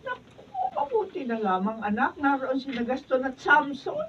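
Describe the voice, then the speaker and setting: Worried filipina nanay in radio drama, fussy, high voice, muffled n distant. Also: female voice, sing-song, moderate pace, fairly bright tone. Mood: relieved. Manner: fussing, exclamatory. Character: fretful, protective mother of grown daughter. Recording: dull distant mic, faint noise.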